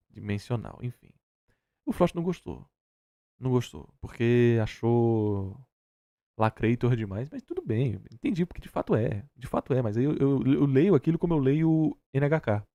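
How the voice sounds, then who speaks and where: Brazilian man, mellow voice, dry sound. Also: medium pitch, dry recording. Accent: Brazilian Portuguese. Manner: emphatic, informal and slangy. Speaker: reaction stream host. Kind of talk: casual opinionated commentary.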